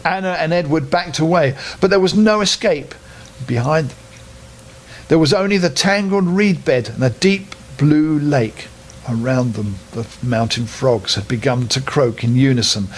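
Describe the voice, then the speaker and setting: An older man reading a children's bedtime story aloud in an expressive, unhurried, dramatic storytelling manner. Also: a little high, slight background noise.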